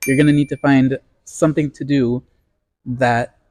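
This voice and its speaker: A man's voice: medium pitch, little pitch movement, moderate pace, a little mellow. A man from Ecuador, a guest in a casual discussion, laying out his points in a structured, explanatory way.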